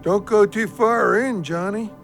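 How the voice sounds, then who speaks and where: A man's voice: sits high, measured pace, a bit bright, soft background music. A man playing an anxious, devoted friend in an audio drama, worried and hesitant. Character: anxious, devoted friend trailing his idol.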